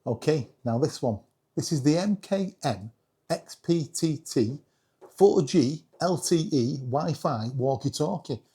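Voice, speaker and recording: A British man with a husky voice giving a casual, chatty hobby review with self-deprecating humour. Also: on the high side, lively intonation, measured pace, warm tone. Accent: British English. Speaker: video creator reviewing radios.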